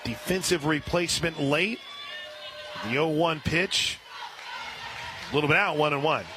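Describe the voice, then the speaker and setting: A man calling live softball play-by-play on the radio as the announcer, with an excitable delivery. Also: fairly high pitch, unhurried, a crowd in the background. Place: at a softball stadium. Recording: noisy live radio broadcast with stadium ambience. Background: clear background noise, ballpark ambience.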